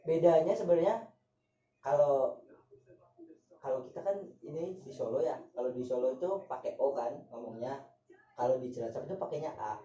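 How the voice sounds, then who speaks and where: A man's voice: medium pitch, normal speed, long pauses, mellow, a little hiss or hum. A young man from Java chatting casually, joking in slang and laughing often. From Java, Indonesia. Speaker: guest. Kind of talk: casual chat with some singing. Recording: echoey, distant, dull room sound.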